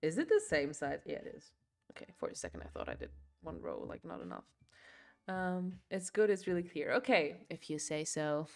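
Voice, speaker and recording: A woman's voice: low voice, very animated, normal speed, creaky, darkish tone. Chatty young woman. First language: German. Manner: chatty, rambling, filler-heavy, laughs often. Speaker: livestream host. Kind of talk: casual chat while crocheting.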